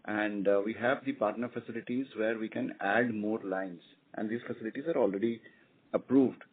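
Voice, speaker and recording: A man's voice: lowish voice, moderate pace. A man with an Indian accent, speaking unscripted as a company executive answering questions on an earnings conference call. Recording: tinny phone conference line, clear noise.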